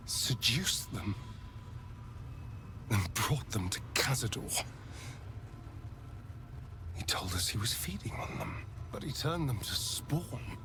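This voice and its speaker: A theatrical vampire spawn from a fantasy game, haunted and sardonic. He speaks slowly with breathy dramatic pauses, his pitch moving widely. Clear background noise sits behind him. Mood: guilty, horrified. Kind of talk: dramatic video game acting.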